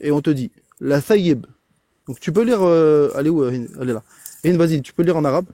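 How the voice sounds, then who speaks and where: A man's voice: on the high side, brisk, dark tone, no room sound. A man in a live online debate, insistent and repeating his points as he reads a religious text. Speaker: debate participant.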